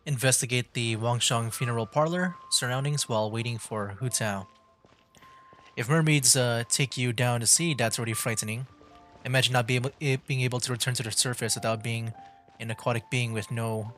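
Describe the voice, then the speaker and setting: A man's voice: medium pitch, steady tone, a little fast, a bit bright. A man doing casual, rambling livestream commentary on a game, sometimes reading text aloud. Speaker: livestream gamer, VTuber. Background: game music.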